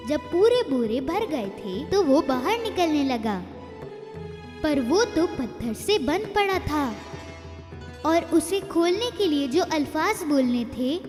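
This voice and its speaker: A woman tells a children's moral tale in a high, animated voice, taking her time over each line. Her narration carries a long echo, with faint music underneath. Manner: slow, expressive storybook reading. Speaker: storyteller.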